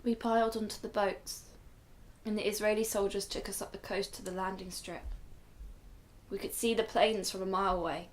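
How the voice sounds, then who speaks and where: Woman playing a measured, solemn storytelling grandmother. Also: medium pitch, level tone, lightly acted. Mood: solemn, reflective. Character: weary, dignified Ethiopian-Israeli grandmother recalling her flight. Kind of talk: radio drama narration.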